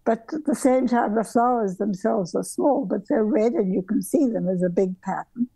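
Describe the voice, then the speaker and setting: Elderly woman with a gravelly, mellow voice. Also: a little high, moderate pace, no echo, poor audio. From southern Africa. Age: older. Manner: meandering, with frequent restarts and ums. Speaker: guest architect. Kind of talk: reflective conversational storytelling. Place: home bedroom workroom, indoors. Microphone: video call.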